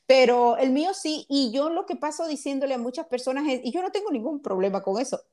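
Chatty host mixing in English. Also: female voice, sits high, lively intonation, quick pace, mellow. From Honduras. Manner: chatty, mixes in English words. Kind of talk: conversational interview.

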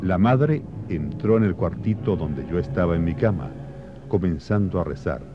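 A man playing a solemn storyteller, narrating patriotic history and memories in a measured, literary radio drama style. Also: deep voice, animated. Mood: solemn, reflective. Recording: muffled radio broadcast, faint music behind.

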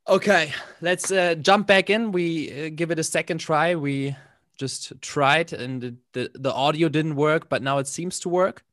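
A man's voice: a little high, unhurried, a bit bright, no echo, clean studio sound. A man interviewing a friend, playful and casual, often repeating the guest's words back. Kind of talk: casual conversation.

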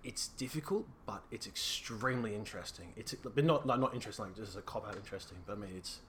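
Young Australian guy, bright forceful voice, rambling chatty guest, faint noise behind. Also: fairly low pitch, fast. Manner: rambling, laughs often, restarts a lot. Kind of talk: casual podcast chat.

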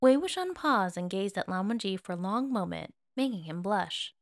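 A woman's voice: medium pitch, expressive, moderate pace, dry recording, clean studio sound. A woman reading scripted fiction aloud as an audiobook narrator.

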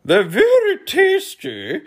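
An eager storybook humbug creature, pitched extremely high. It is chatty and effusive, leaping around in tone. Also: male voice, huge pitch swings, takes it slowly, full character voice.

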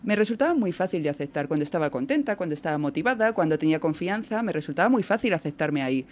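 Spanish woman, neutral accent, animated guest in casual radio chat. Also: low-pitched, fast talker. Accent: neutral Spanish from Spain. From Spain.

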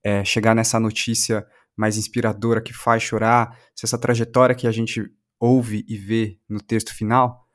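A man's voice: fairly low pitch, flat delivery, normal speed, hardly any pauses. A Brazilian man asking conversational interview questions, rephrasing them tentatively as he goes.

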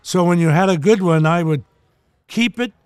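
A man in his eighties, a retired hockey player, telling gruff, joking stories in casual chat on a podcast. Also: high voice, normal speed, a little mellow, no echo, clean studio sound. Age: 80s.

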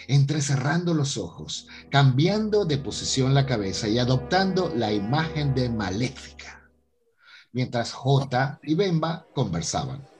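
A man narrates a scripted radio soap. His delivery rises and falls widely at a middle pitch, with faint music behind him.

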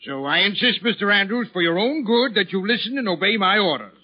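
Family doctor, brisk and insistent, high-pitched, 1940s radio comedy, muffled vintage sound. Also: male voice, lively intonation, husky. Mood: firm. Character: firm, authoritative male family doctor.

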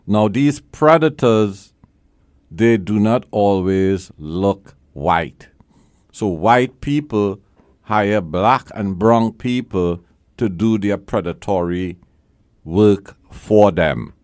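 Lawyer guy hosting his own advice show, low voice, dry studio sound, hammers his points home. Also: slow pace, a little mellow, no room sound. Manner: emphatic, blunt, repeats key phrases. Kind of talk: call-in legal advice show.

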